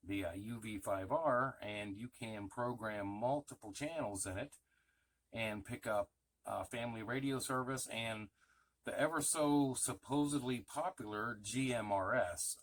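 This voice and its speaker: A man's voice: on the low side, low-quality recording. A middle-aged man talking casually and plainly, like an informal hobby vlogger showing off his gear. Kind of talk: casual vlog talk.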